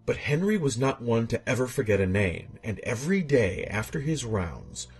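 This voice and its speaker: Male horror narrator, strongly raspy with an animated range, theatrical and suspenseful storytelling. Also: mid-range voice, moderate pace, soft background music. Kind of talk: scripted dramatic narration of a horror tale.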